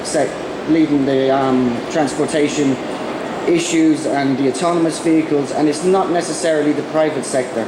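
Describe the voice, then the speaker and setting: A man's voice: a little high, steady tone. Irish man with a cold, echoey hall. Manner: halting, many ums and restarts. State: has a cold. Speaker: conference speaker. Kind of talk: conference presentation. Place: conference hall. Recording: echoey hall with background noise.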